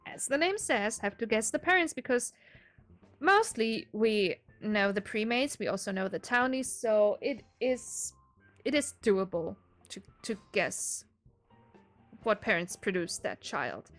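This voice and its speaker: A woman's voice: mid-range voice, animated, a little slow, a bit bright, soft background music. A woman in her twenties with a German accent, casually explaining her game setup in a chatty, self-deprecating way, often breaking into a laugh. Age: 20s. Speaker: gaming video creator.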